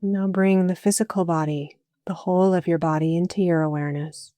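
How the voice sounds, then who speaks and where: A woman guiding a meditation as a yoga nidra teacher, speaking calmly and soothingly in short phrases with long pauses. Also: fairly low pitch, dry recording. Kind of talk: guided meditation, slow spoken instruction.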